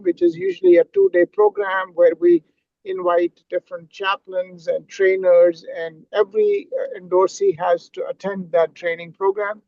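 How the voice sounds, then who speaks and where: A man representing a chaplain endorsing body, explaining requirements on a video call in a measured, formal way. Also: high voice, creaky, no room sound. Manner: measured, formal, occasional filler. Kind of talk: explaining a process on a panel. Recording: dry, dull lo-fi call audio.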